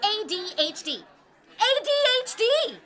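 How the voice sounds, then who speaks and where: A woman plays a belligerent mother in a comedy audio drama. Her very high, sharp voice swings widely in pitch as she taunts and defends. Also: very animated, slow pace, full character voice. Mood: combative. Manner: brash, taunting.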